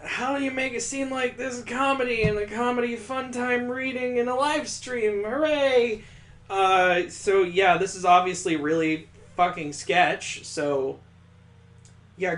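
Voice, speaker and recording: A man's voice: high-pitched, measured pace, fairly bright tone. A man on a stream reading a visual novel aloud, breaking off for crude, joking asides and mock sound effects.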